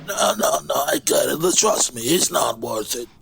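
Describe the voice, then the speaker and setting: A man playing a gruff, fatherly older club owner in an audio drama, talking in a commanding, paternal way. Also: medium pitch, animated, measured pace. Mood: urgent, protective. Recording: loud music behind the voice.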